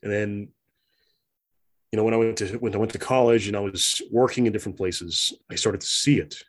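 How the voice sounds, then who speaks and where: Low-voiced man, reflective and hesitant. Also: steady tone, a little fast. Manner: reflective, hesitant, many fillers and restarts. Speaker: interview guest. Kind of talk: conversational interview.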